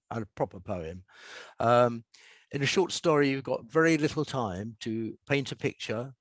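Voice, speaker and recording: An older man, a writer, speaking in a measured, explanatory and reflective way as a podcast guest. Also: mid-range voice, no echo. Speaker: guest author and scriptwriter. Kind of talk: reflective interview talk, with a short reading from own prose.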